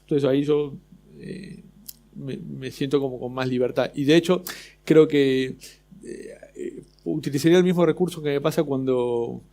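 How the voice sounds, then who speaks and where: A man's voice: mid-range voice, moderate pace, gravelly, dark tone. A man with an Argentine accent speaking on an illustrators' panel, hesitant, with many restarts. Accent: Argentine Spanish. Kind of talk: casual panel discussion.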